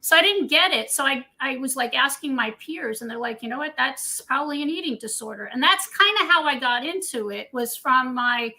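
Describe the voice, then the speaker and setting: A woman's voice: high voice, animated, a little fast, few pauses, bright, forceful tone, rough recording. An older woman from Scotland, a guest dietitian, telling her career story in a candid, chatty way. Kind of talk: casual interview chat.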